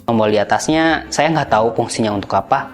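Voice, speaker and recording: A man's voice: mid-range voice, brisk. Casual man reviewing gadgets over music. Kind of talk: casual product review narration. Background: background music.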